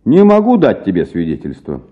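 A male actor with a Russian accent playing an unflappable, wry doctor, measured and sententious, in a radio comedy drama. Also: low-pitched, huge pitch swings, muffled recording, full character voice. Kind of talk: theatrical radio drama acting of a classic comic story.

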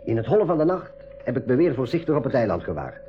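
Shrewd adventurer's companion voiced by a man, mid-pitched and very lively, explanatory school radio drama delivery, slightly dull recording. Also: fast, soft background music, natural voice. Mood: confiding. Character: Coralis, shrewd observant companion recounting his escape.

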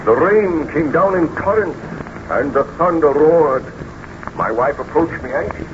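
A troubled, formal baron in a 1930s radio serial. His voice sits a little high, measured and theatrical, on a muffled recording with clear noise. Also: expressive. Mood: grave recounting. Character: troubled Baron recounting his tragic past.

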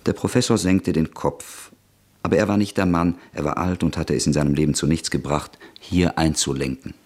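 Very deep, husky male narrator. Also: steady tone, normal speed, a little mellow. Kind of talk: literary scripted narration for a radio play.

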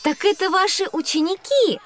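A woman's voice: very high-pitched, moderate pace, faint music behind. A woman playing an eager, inquisitive young child who wonders at things in an educational audio drama. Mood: wondering. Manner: wondering, animated.

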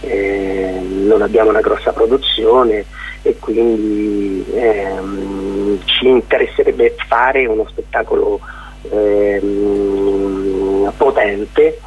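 Slow, hesitant man on a phone line. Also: lowish voice, fairly even intonation, husky. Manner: hesitant, halting, searching for words. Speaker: interview guest, playwright. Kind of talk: informal radio phone interview. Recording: thin, narrow telephone line on radio.

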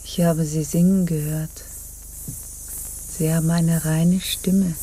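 An actress playing a brooding, sensual pirate captain in a radio drama, speaking in intimate, half-whispered musing. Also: female voice, deep voice, little pitch movement, takes it slowly, dark tone, breathy edge, full character voice. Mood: sensual, intrusive. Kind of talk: German radio drama acting.

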